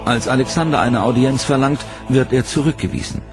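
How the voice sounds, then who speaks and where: A man giving scripted, measured documentary narration in a dramatic storytelling manner, over a music bed. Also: medium pitch. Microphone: studio voice-over mic.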